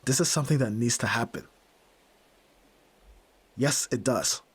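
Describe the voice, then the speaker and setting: A man's voice: mid-range voice, unhurried, slightly rough. A young man playing a brusque, tormented nerd who is a reluctant yakuza heir, in a dramatic audio roleplay, speaking huskily and curtly. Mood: stern, conflicted. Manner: brooding, curt, pushing the listener away.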